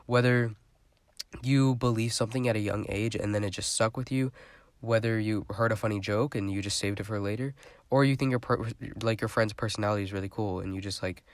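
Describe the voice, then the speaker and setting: A man's voice: fairly low pitch, no room sound. A young man hosting his own podcast, talking in a rambling, chatty way with a stuffy, congested voice. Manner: rambling, chatty, lots of fillers. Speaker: podcast host. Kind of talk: casual solo podcast monologue.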